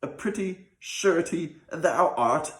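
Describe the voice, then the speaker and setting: A man voicing a grasping, quick-tempered rich man in a folk tale, scolding in archaic speech. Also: fairly high pitch, animated, pitched above the performer's own voice. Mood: angry, scolding. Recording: roomy, echoing sound.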